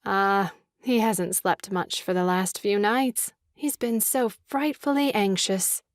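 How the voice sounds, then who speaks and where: A woman playing a gentle, fond young sister in a comic stage farce, with a coaxing, soft-hearted manner. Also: mid-range voice, slow, no echo, studio quality, lightly acted.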